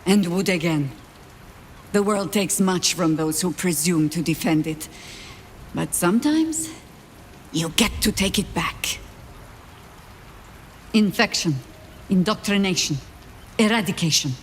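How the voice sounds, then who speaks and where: A woman playing an older, world-weary warrior leader, with a measured, dry, sardonic manner in a fantasy game drama. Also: fairly low pitch, animated, slight background noise. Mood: resolute. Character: wry, world-weary old female warrior, pragmatic leader.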